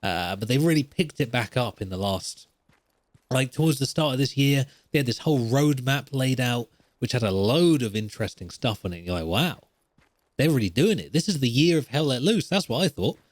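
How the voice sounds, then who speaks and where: A man delivers casual, opinionated gaming commentary as a podcast host in a mid-pitched voice. He rambles along and leans on repetition to hammer points home. The sound is dry and close, with very little room. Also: expressive, fairly quick, no echo.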